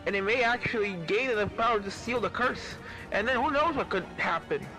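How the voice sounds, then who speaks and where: A man's voice: sits high, fairly quick, pushed and bright, faint music behind, voice pushed up, rough recording. A man voicing a caring, learned pony professor in a fan fiction reading, earnest and given to explaining. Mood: grave warning. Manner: earnest, explanatory, stammers when flustered.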